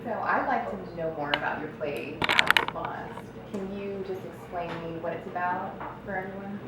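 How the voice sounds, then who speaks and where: A woman's voice: on the low side, normal speed. A woman asking questions as an interviewer in a casual conversational style. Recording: very echoey, distant, loud background noise.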